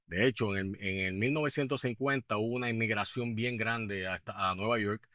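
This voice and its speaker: A man in his fifties with a Puerto Rican accent, a guest in a podcast interview, talking with many pauses, fillers and restarts. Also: fairly low pitch, sharp tone, no room sound, studio quality. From Puerto Rico. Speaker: guest, business owner.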